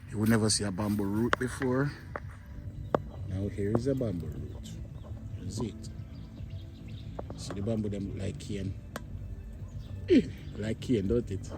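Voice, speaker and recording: A man's voice: fairly low pitch, noisy background. Chatty Jamaican man vlogging outdoors. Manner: chatty, addresses viewers as "my people", often drops to a murmur. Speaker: travel vlogger. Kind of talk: casual walking vlog. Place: outdoor hotel garden.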